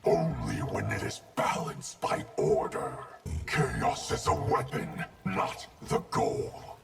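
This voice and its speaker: Gravelly, menacing supernatural warrior. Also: male voice, on the low side, wildly swooping pitch, takes it slowly, loud music behind the voice, heavily acted. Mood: stern. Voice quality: gravelly, harsh. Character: grim, menacing supernatural warrior, stern and commanding. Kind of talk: video game fighter dialogue.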